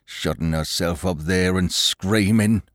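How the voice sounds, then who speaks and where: A man voicing a grumpy, harassed police inspector in an audiobook reading, with a gruff, morose delivery. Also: sits low, takes it slowly, no room sound, clean studio sound.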